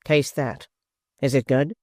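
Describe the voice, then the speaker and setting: A woman voicing a scheming blind old man who speaks slowly and coaxingly. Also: very deep voice, fairly even intonation, mellow, no room sound, studio quality. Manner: slow, coaxing, insinuating.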